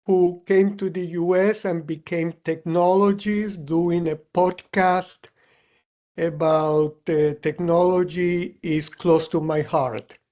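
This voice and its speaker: A man, speaking in a measured, reflective way as a podcast co-host. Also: high voice, fairly even intonation, a little mellow, dull audio. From Cuba. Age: 70s or older. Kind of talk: conversational podcast discussion.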